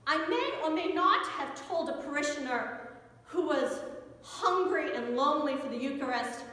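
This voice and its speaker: High-pitched American woman preaching, very echoey church. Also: animated, bright, forceful tone. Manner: conversational, rhetorical questions, emphatic pauses. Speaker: Episcopal priest preaching. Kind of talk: sermon. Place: church interior. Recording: very echoey church with long reverb.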